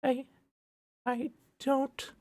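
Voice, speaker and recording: A woman playing a timid, high-voiced schoolgirl. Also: little pitch movement, very slow, darkish tone. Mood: hesitant. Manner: hesitant, nervous, polite. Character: timid, naive, polite schoolgirl.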